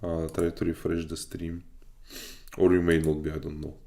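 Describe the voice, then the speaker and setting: A man's voice: low voice, monotone, normal speed, dark tone. A man from Bulgaria livestreaming his study session, casually reading aloud and hesitantly thinking through it as he goes. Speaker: live streamer learning web development.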